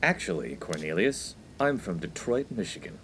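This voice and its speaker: A man's voice: sits low, sing-song, moderate pace, slight background noise. An actor playing a sensible, square young college student, the dry and pedantic straight man of a comedic radio play. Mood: earnest.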